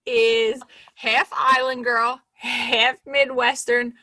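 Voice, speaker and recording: Bright-voiced young woman podcast host. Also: on the high side, flat delivery, takes it slowly, dry recording. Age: 20s. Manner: slangy, joking, plays characters in quotes. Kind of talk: casual chat.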